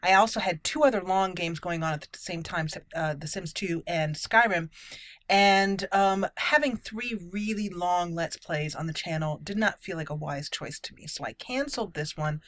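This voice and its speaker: A woman's voice: on the low side, normal speed, fairly bright tone, dry recording. A woman giving casual let's play commentary as a channel host, chatty and stretching key words for emphasis.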